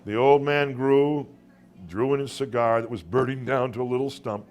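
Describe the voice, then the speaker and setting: A man reading his own short story to a live room as a dramatic storyteller, with deliberate pauses and occasional stumbles. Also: mid-range voice, steady tone, a little mellow. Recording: live room recording with background noise.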